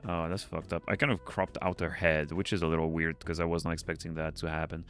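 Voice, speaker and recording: A man with a deep voice, English not his first language, chatting casually on a livestream as he draws, rambling, muttering to himself and swearing now and then. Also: little pitch movement, fast, a bit bright, soft background music. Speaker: streamer. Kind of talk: casual livestream chat while drawing.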